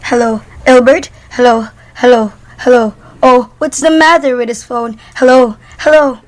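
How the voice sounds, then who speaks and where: A woman's voice: fairly high pitch, fairly even intonation, normal speed, natural voice, poor audio. A woman playing a nervous, demanding bedridden wife, irritable and frantic, in an amateur radio play. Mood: impatient, anxious. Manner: exasperated, complaining, dramatic.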